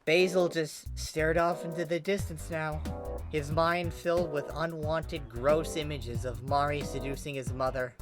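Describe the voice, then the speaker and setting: A woman's voice: low-pitched, fairly even intonation, unhurried. A woman narrating fanfiction in a wry, comedic storytelling manner. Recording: music bed under the voice.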